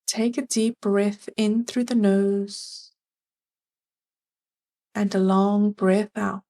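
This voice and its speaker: Slow-paced woman guiding a meditation. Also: medium pitch, steady tone. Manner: gentle, measured, soothing instruction. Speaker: meditation guide. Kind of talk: guided meditation, scripted.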